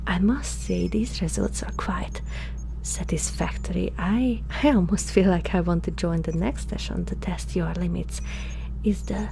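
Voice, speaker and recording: Slow, creaky-voiced alien woman experimenter, acted by a woman. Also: lowish voice, a little hiss or hum. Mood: fascinated, pleased. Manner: hesitant, halting, softly spoken, often slipping into whispers. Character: curious, flirtatious female alien experimenter aboard a spacecraft. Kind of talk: ASMR roleplay, intimate and close.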